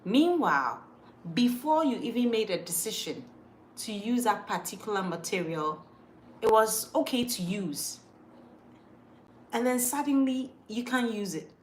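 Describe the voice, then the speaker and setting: A woman's voice: a little high, big pitch swings, unhurried, slight background noise, rough recording. A woman from Ghana with a mixed Ghanaian accent, chatting casually as a livestream host and reading out viewer comments, emphatic and repeating her words as she thinks aloud. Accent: Ghanaian, mixed from moving around.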